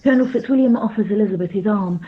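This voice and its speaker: A woman's voice: mid-range voice, racing, husky. A woman narrator reading scripted stage directions for a radio play. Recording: thin phone-like sound.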